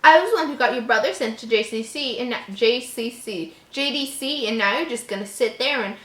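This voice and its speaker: Casting: woman playing cocky, vengeful teen boy bully for a horror story, confrontational, higher pitch, roomy sound. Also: fairly quick, a bit bright, natural voice. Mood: scornful. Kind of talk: dramatized horror story read-aloud.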